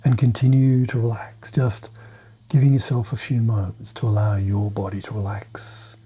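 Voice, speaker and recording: Male meditation narrator: hushed, half-whispered, gentle delivery on a steady, flat line, dark relaxed tone, short phrases with long pauses. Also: fairly low pitch. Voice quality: soft, breathy, half-whispered. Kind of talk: guided meditation, soft-spoken hypnotic suggestion.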